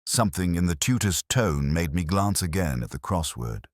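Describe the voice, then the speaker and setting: Very deep-voiced, brooding detective played by a man. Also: expressive, no echo, studio quality. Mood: pensive, uneasy. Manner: measured, literary, brooding, deliberate. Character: melancholy, observant older male detective narrating his case. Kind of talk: scripted first-person mystery narration.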